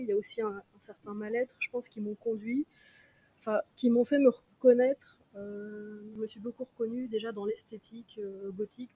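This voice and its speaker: A woman's voice: fairly high pitch, dark tone, slight background noise, low-quality recording. A woman, a podcast guest, answering thoughtfully with frequent hesitations. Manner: thoughtful, hesitant, many fillers. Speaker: guest, concert organizer. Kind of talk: casual podcast interview.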